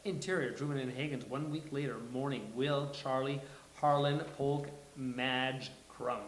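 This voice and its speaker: A man's voice: medium pitch, steady tone, a little slow. Man reading stage directions in echoey room. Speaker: host and stage-direction reader. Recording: echoey room, hissy background.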